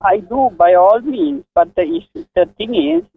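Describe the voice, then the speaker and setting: A man's voice: sits high, expressive, moderate pace, gravelly, slight background noise. A man talking hesitantly and politely on a phone, repeating himself as he explains. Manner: hesitant, repetitive, polite protesting. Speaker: prank call victim. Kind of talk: phone conversation. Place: office. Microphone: telephone. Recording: tinny narrow phone line.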